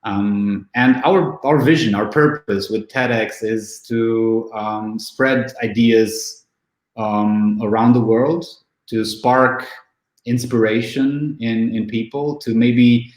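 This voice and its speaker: Halting man in an echoey room. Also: medium pitch, fairly even intonation. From Romania. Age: 30s. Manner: halting, many fillers and restarts. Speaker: event organizer. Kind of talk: casual online interview.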